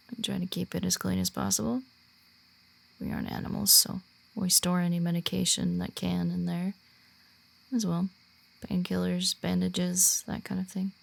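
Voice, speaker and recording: A woman playing a shy, earnest werewolf who guides a newcomer in a roleplay audio, speaking softly and haltingly. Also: on the low side, gravelly, full character voice. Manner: hesitant, halting, awkward when personal. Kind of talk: roleplay audio drama, softly spoken to the listener.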